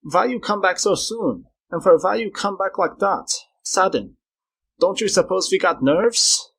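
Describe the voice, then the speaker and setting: Man doing a high, querulous, fussy complainer. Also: normal speed, gravelly, a bit bright, breathy edge, full character voice. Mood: startled, shrill. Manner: querulous, exclaiming.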